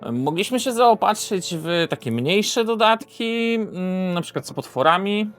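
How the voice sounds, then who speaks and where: A man's voice: high-pitched, expressive, unhurried, a bit bright. Man, video presenter, swooping intonation, hesitant unscripted delivery, faint music behind. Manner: hesitant, self-correcting, throat-clearing. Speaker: board game reviewer and host.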